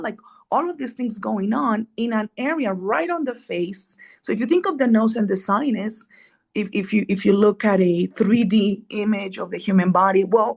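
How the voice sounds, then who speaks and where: A woman's voice: medium pitch, creaky. A middle-aged woman from Colombia, an immunologist guest explaining science in a podcast interview, earnest, often checking in with "right?".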